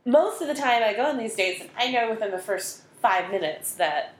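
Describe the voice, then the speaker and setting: A woman's voice: on the high side, expressive, normal speed. A woman from the American Midwest, hosting a casual chat in a thoughtful, self-deprecating way. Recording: roomy echo.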